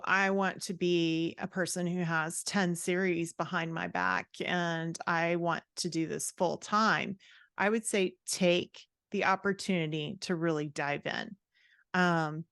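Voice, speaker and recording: A woman's voice: lowish voice, a bit bright, smooth, dry recording, studio quality. A woman speaking as a guest writing coach, explaining her ideas in a conversational, encouraging and reflective way. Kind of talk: conversational advice in a podcast interview.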